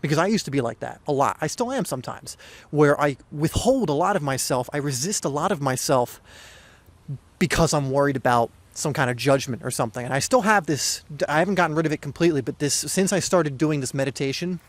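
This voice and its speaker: A young man hosting a soccer podcast, talking casually and earnestly with a hoarse, slightly weak voice and frequent restarts. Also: a little high, fairly quick. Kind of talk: casual solo podcast talk.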